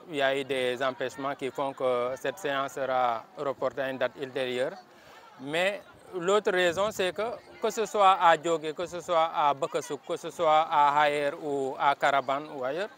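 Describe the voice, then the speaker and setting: A man with a Senegalese accent and a fairly high-set voice makes a semi-formal statement as a local official. He is earnest and stresses points by repeating his phrases. It is a field recording made outdoors, with light noise behind him. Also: fairly even intonation, normal speed, fairly bright tone. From Casamance, Senegal.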